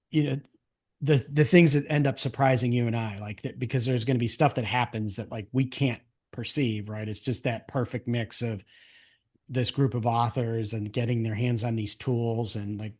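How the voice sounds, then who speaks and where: A man's voice: medium pitch, a little mellow. Man hosting a casual podcast, thinking aloud. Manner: thinks aloud in rambling, enthusiastic riffs, often checking with "right?". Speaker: podcast host and interviewer. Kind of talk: casual conversational interview.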